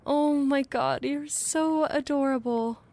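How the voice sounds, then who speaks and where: A woman's voice: sits high, slow pace, darkish tone. A woman playing a forthright, logical scientist in an audio drama, casual and sardonic as she explains things. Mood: startled. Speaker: actor.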